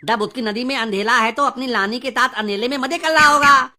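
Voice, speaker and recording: A man's voice: extremely high pitch, fairly quick. A man voicing a silly schoolboy with a childish lisp in a comedy cartoon. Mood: cheeky. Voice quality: lisping, childish mispronounced consonants. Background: music.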